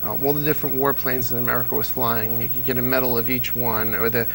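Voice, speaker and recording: Man, creaky mid-pitched voice, interview guest, casual animated explanations, faint noise. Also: fast, low-quality recording. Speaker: interview guest, old-time radio fan.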